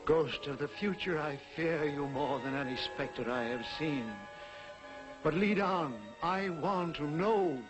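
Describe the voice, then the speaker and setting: High-pitched, pleading old miser played by a man. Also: a little slow, close to an everyday voice, poor audio. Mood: fearful resolve. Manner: pleading, theatrical delivery. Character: frightened, repentant old miser haunted by spirits. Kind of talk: 1940s television drama acting. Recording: old 1940s TV soundtrack with music.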